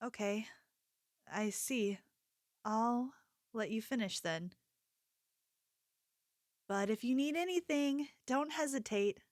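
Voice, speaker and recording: Woman acting a soft, high-voiced attentive shop girl. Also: slow, long pauses, no room sound, clean studio sound. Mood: sweet, attentive. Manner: gentle, apologetic, hesitant, solicitous. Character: sweet attentive young female convenience store clerk, secretly possessive. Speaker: ASMR roleplay performer. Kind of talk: ASMR roleplay.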